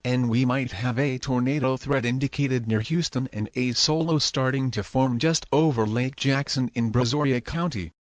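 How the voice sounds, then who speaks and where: A man's voice: medium pitch, moderate pace, hardly any pauses, dry recording, close to an everyday voice. A man playing a local TV weatherman who gives news commentary on a tornado threat. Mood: serious warning. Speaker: TV weather presenter.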